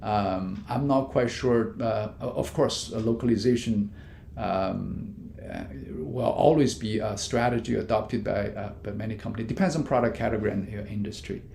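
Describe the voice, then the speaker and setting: A man, a business professor, giving measured answers in an interview with frequent fillers and restarts. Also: gravelly, mellow. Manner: many fillers and restarts, non-native grammar; measured, explanatory. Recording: echoey room with faint rumble.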